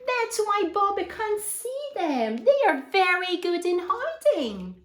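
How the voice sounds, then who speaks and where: A woman's voice: very high voice, sing-song, slow, roomy sound. A woman reading a picture book aloud to young children, expressively, with asides and questions addressed to her listeners.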